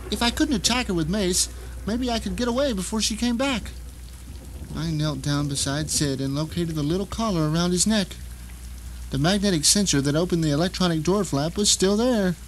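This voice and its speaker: A man's voice: high voice, slight background noise, close to an everyday voice, rough recording. A man playing a bitter, vengeful blind man trapped at home, narrating an audio drama in an intense, theatrical way. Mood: desperate, determined. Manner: intense, theatrical, emphatic delivery.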